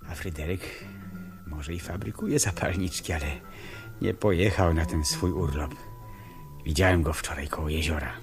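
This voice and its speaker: An actor playing a reflective, wry amateur detective in a 1970s radio play, musing and telling the story in measured phrases. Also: male voice, very deep voice, steady tone, over music. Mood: brooding, musing. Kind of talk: 1970s radio play acting with first-person narration.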